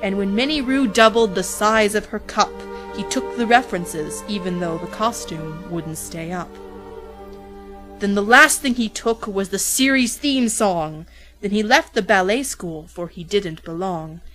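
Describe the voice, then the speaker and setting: A woman's voice: medium pitch, animated, measured pace, a bit bright, soft background music, poor audio. A woman narrating a rhyming parody storybook as a theatrical storyteller.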